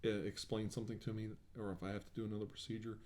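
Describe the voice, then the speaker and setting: A man with a low, very mellow and relaxed voice plays a doctor in an improvised ASMR role-play. He speaks softly and reassuringly, with a hesitant, halting delivery. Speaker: ASMR role-player acting as a government doctor.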